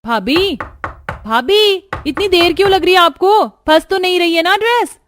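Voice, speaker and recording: A woman voicing a caring, outspoken woman of a cartoon family, plain-spoken and expressive. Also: extremely high pitch, normal speed, slight background noise. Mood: impatient.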